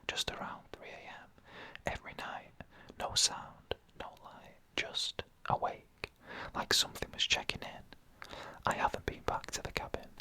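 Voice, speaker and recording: A narrator telling a scary story in a breathy ASMR whisper, in slow, hushed, deliberate phrases. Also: rough recording.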